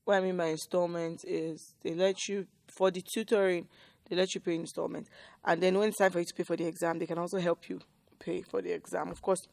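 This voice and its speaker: A woman's voice: fairly low pitch, flat delivery, brisk, vocal fry, a little mellow, no echo, clean studio sound. A woman with a Nigerian accent giving casual, conversational advice as a co-host, explaining emphatically and often checking in with "right?". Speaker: HR professional and talk co-host.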